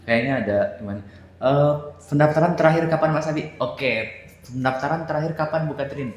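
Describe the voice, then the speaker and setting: A man with an Indonesian accent casually moderating a group question session, teasing and quick to chuckle. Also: on the high side. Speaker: moderator of a tour briefing. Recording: echoey room pickup.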